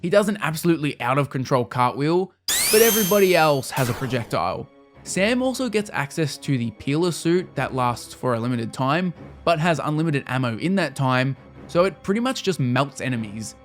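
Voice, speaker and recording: A man's voice: a little high, very animated, a little fast, soft background music. A man narrating a scripted video game review in a casual, wry manner with the odd mild swear.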